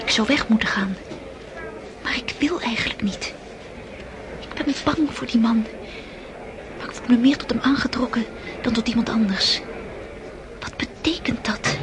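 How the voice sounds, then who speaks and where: An actress playing a young, impressionable countess in a radio play. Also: female voice, fairly high pitch, moderate pace, long pauses, close to an everyday voice. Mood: whispering. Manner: breathy whispered inner thoughts.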